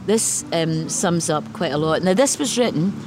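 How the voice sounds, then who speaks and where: A woman's voice: lowish voice, lively intonation, moderate pace, music in the background, poor audio. A woman with a Glasgow accent, a poet talking in an interview and reading a poem aloud in a thoughtful manner.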